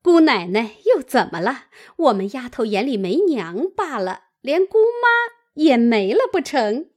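A woman's voice: sing-song, unhurried, full character voice. A woman voicing a coarse, bitter servant mother in a storytelling reading, scolding harshly. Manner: cursing, shrill scolding. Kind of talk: dramatised classic novel storytelling.

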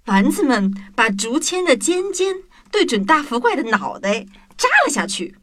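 Female children's narrator. High, very lively delivery over a music bed. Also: moderate pace. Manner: expressive, addressing young children. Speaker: storyteller and show presenter. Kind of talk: animated scripted children's story narration.

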